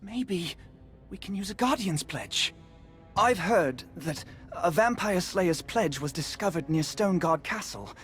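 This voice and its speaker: Earnest, anxious young-hearted sorceress, music behind. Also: female voice, fairly low pitch, expressive, measured pace. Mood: earnest, urgent. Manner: dramatic, earnest, explanatory. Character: earnest, anxious female magic user and lore expert. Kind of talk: video game voice acting. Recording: music under the voice.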